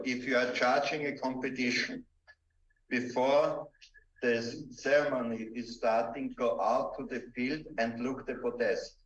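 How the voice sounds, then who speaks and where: Distant, echoey Austrian man lecturing. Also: mid-range voice. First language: German. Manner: halting, simple non-native English with deliberate emphasis. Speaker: presenter instructing dog-trial judges. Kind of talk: seminar lecture. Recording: dull, echoey room, distant microphone.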